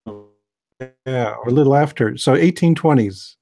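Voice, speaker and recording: An American man giving a relaxed, digressive science lecture on a video call, with many fillers and restarts. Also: mid-range voice, normal speed, gravelly, faint music behind. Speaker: host, biology lecturer.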